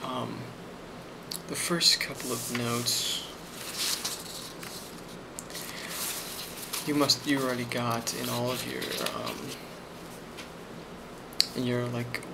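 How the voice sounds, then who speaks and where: A man playing a friendly, rambling office boss in an ASMR role play, speaking softly and hesitantly with a reassuring manner and a creaky voice. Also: mid-range voice, flat delivery, slow, long pauses. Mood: calm, casual. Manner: soft-spoken, hesitant, reassuring. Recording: loud constant background noise.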